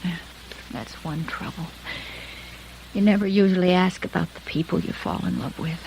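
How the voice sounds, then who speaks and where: An American actress playing a tired, sad, lovelorn gangster's wife, emotional and wounded, in 1950s radio melodrama. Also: female voice, lowish voice, animated, moderate pace, vocal fry, darkish tone. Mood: weary, bitter. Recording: noisy vintage radio recording.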